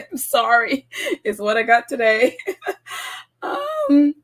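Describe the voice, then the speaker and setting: Croaky congested woman podcaster, giggly. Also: fairly high pitch, wildly swooping pitch, slow. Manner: chatty, giggly, lots of ums. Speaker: podcast host. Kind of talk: casual solo podcast chat.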